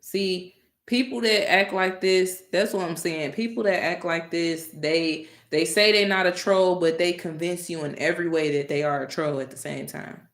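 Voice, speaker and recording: A woman hosting a live stream, talking casually and directly to her chat, animated and exasperated with commenters. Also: on the low side, normal speed, reverberant.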